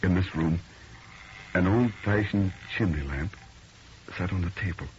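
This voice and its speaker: A man's voice: low voice, a little slow. An actor playing a dazed, uneasy city man telling an eerie tale in old radio drama style, earnest and haunted. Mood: hushed, ominous. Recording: muffled old radio recording, faint noise.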